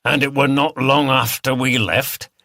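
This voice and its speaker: A man's voice: mid-range voice, steady tone, unhurried, pushed and bright, no room sound, clean studio sound, voice pushed up. A man voicing an earthy, blunt old village local in an audiobook. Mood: shocked. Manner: blunt, colloquial.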